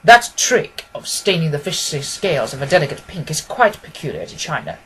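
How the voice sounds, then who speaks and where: A woman voicing a confident, quick-witted gentleman detective from a storybook, precise and amused. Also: low-pitched, big pitch swings, slight background noise, poor audio. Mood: assured. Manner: precise, expository, assured.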